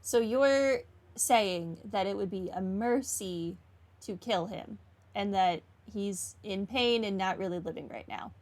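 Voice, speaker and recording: A woman's voice: mid-range voice, measured pace, a little mellow. A woman giving casual, wry commentary on a video game playthrough, thinking aloud as she goes. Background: game music.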